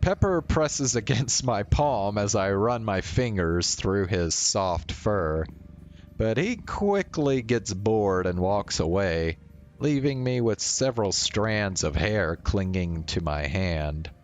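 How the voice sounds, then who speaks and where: A man's voice: on the low side, expressive, slow, faint music behind. A man, a streamer reading a visual novel aloud with casual commentary.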